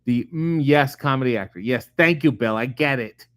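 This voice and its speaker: Middle-aged guy riffing on viewer comments, swears a lot, deadpan, dry close sound. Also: mid-range voice, normal speed, no room sound. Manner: profane, sardonic, deadpan asides. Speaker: comedian host reading viewer comments. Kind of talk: casual chat answering live chat questions.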